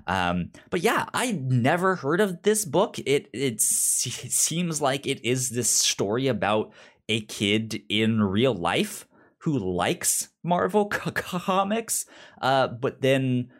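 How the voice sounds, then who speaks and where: A man's voice: medium pitch, sing-song, takes it slowly. A man co-hosting a podcast in casual, unscripted chat, often stammering and repeating syllables. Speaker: podcast co-host.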